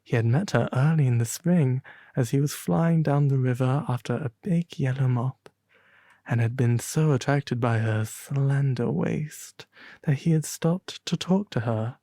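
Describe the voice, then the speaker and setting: A pompous, refined demon prince acted out by a man speaks at a very unhurried, drawn-out pace. The tone is mellow and soft, turning breathy at times, in a close, dry ASMR storybook roleplay. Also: mid-range voice, no room sound, close to an everyday voice. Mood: gentle, storytelling.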